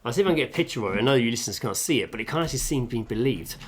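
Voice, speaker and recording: A man co-hosting a podcast from a home kitchen, chatting casually and riffing on jokes. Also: mid-range voice. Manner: jokey, animated, quick to riff. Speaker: podcast co-host. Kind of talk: casual chat.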